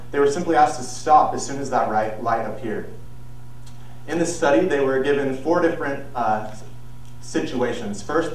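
A young man giving an earnest, measured persuasive speech on an auditorium stage, heard from a far camera. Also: medium pitch. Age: young adult. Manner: earnest, measured, with some restarts. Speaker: student speaker. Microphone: far camera, no visible mic. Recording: very echoey hall, distant mic, faint noise.

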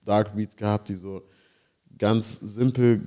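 A man's voice: lowish voice, fairly even intonation, takes it slowly, dark tone. A man telling stories in a laid-back casual chat, full of slang and English words.

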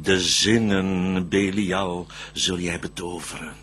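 An actor playing a proud, scheming, vengeful devil in a radio drama, declaiming verse in a theatrical manner. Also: male voice, low-pitched, fairly even intonation, slow, fairly bright tone, husky, exaggerated voice. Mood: calculating, sinister.

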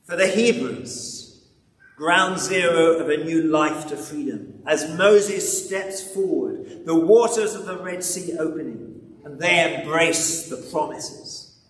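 An elderly man preaches in a large, echoing church, picked up from a distance. His delivery is very slow and solemn, with weighty pauses and deliberate repetition. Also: fairly high pitch. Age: 70s. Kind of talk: sermon with scripture reading. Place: large church interior, standing at a lectern. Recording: echoey hall, distant mic, background noise.

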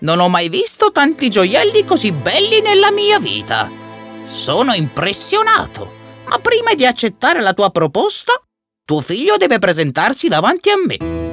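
A man's voice: extremely high pitch, huge pitch swings, normal speed, pushed and bright, soft background music, full character voice. A man playing a haughty, imperious sultan in a children's fairy tale, pompous and theatrical. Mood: impressed. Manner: pompous, theatrical hums and exclamations. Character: haughty sultan, imperious yet curious and easily impressed.

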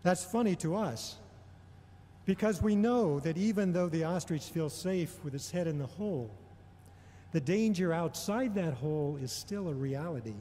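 A middle-aged man delivering a prepared homily in a measured, deliberate way, pausing often between phrases. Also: a little high, animated, dark tone, a little hiss or hum. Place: large indoor church or hall. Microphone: gooseneck microphone on a lectern. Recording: long reverberation of a large hall.

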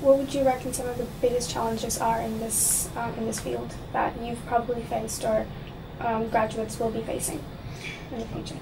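A woman's voice: a little high, flat delivery, moderate pace, music in the background. A young woman, a student, politely asking prepared interview questions in a small office. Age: young adult. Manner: polite, soft backchannels. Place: small office meeting room, seated at a table. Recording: distant voice with room hum.

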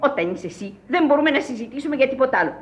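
Imperious, eccentric old countess. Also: female voice, sits high, expressive. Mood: scolding, impatient. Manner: commanding, declamatory, scolding. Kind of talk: theatrical radio drama acting. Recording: dull old radio recording.

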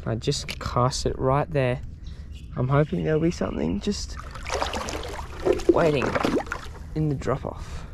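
A young man with an Australian accent giving casual, excitable fishing commentary in colloquial language. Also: fairly high pitch, unhurried, vocal fry, long pauses, a little hiss or hum. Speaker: fishing vlogger. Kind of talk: casual live vlog commentary. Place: outdoors on paddle board on river. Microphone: body-mounted action camera.

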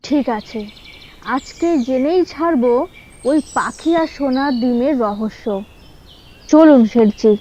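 A woman's voice: sits high, unhurried, long pauses, dark tone. A woman playing a scheming, brazen village woman in a doll-story drama, with a theatrical, argumentative manner. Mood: conspiratorial.

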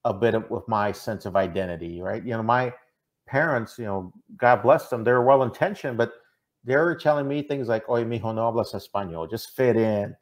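A man's voice: lowish voice, normal speed. A middle-aged man from the American Midwest giving earnest, conversational interview answers, often checking in with "right?". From Minnesota, United States. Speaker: interview guest, diversity consultant. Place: indoor room, on a video call. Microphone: video call microphone.

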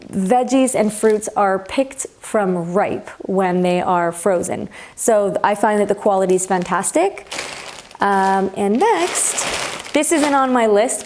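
A woman's voice: medium pitch, darkish tone. A young woman talking to camera in her home kitchen on a lapel mic, chatty and upbeat as she shows her groceries. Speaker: healthy eating vlogger. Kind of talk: casual talk to camera. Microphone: clip-on lapel mic.

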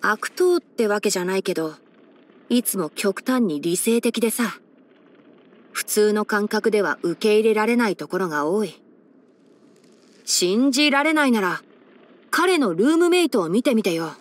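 A woman's voice: medium pitch, animated, moderate pace, pauses often, lightly acted. Woman voicing a composed, scholarly young male forest ranger. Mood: dry, cautioning. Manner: composed, articulate, mildly wry, mentor-like explaining. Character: young male fox-eared forest ranger, scholarly, sensible, dryly caring. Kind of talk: scripted video game character voice-over.

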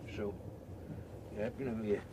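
Through loud background noise, an actor plays an easygoing family man in a radio drama, his voice on the low side and conversational. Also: fairly even intonation, unhurried, natural voice. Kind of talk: radio play dialogue.

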